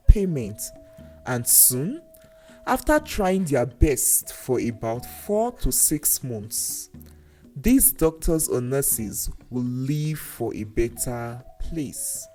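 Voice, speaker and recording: A storyteller narrating a scripted folktale in a deliberate, dramatic way, with pauses between phrases. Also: medium pitch, dark tone. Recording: voice over faint music. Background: faint background music.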